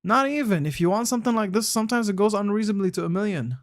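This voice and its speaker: Young guy streamer, kinda high voice, chill and chatty, says bro a lot, trips over his words. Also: lively intonation, racing. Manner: laid-back, slangy, calls chatters bro; thinks aloud with frequent restarts. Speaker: live streamer. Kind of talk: casual live-stream chat answering viewers.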